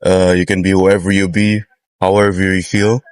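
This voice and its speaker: A young man from the Philippines answers street interview questions, pausing often with fillers. His voice is low and the sound is dry and close. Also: little pitch movement, no room sound. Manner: hesitant, many uh fillers, laughs easily. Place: outdoors on a street.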